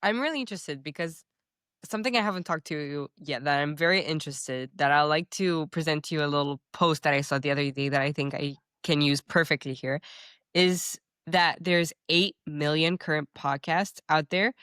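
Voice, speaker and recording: A woman hosts a podcast interview, asking curious questions in halting restarts. Her voice is very low and recorded dry and close. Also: fairly bright tone, clear, resonant tone, dry recording. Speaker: podcast host.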